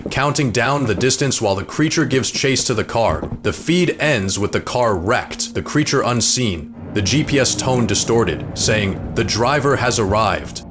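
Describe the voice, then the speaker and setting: A man's voice: mid-range voice, a bit bright. Male explainer narrator over background music.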